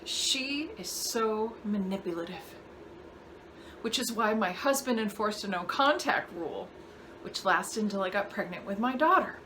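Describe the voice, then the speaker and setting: Casting: actress as bitter, guilt-laden mother in a stage-style monologue, bright higher voice, halting delivery, noisy home recording. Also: animated. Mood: resentful, guilty. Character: bitter, guilt-ridden mother burdened by a troubled family. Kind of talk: dramatic acting monologue.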